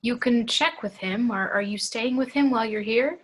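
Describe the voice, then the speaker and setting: A woman's voice: fairly high pitch, normal speed, lightly acted. A woman at a home table read playing a kindly, nervous man in his seventies who runs a funeral home, polite and hesitant. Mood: hesitant, concerned. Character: kindly, nervous, hushed 73-year-old male funeral director.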